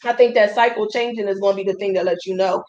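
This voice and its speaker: A woman's voice: medium pitch, fairly even intonation, quick pace, darkish tone, lo-fi sound. A woman in her thirties hosting a live stream, talking in a chatty, folksy way and calling her viewers "y'all". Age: 30s. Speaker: host. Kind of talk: casual live-stream talk.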